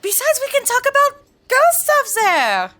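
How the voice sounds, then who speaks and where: A woman voicing an excitable young female trainer in a tabletop roleplay podcast, talking in an eager, gushing way. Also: extremely high pitch, measured pace, pitched above the performer's own voice, full character voice. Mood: excited.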